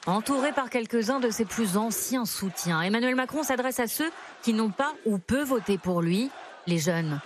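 A woman reporter narrating a scripted television news segment in a measured way. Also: on the high side, slight background noise. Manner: measured, even report delivery. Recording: faint music underneath.

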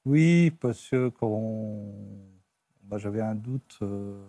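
A middle-aged man, a talk show guest recalling his own life in a halting, plain-spoken, casual way. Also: on the low side, expressive, mellow, no echo. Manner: halting, plain-spoken, often tags phrases with a filler. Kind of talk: casual personal recollection. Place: TV studio.

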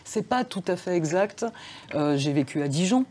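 A woman born in France, a crime novelist guest, answering interview questions thoughtfully and softly, pausing to search for words. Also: lowish voice, lively intonation, slight background noise. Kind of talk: reflective studio interview talk. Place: TV studio.

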